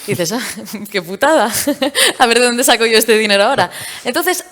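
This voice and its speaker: A woman's voice: on the high side, normal speed. Candid Portuguese woman founder in a reverberant hall. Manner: candid, lively storytelling with fillers. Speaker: startup founder. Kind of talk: stage interview at a tech event. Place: event stage.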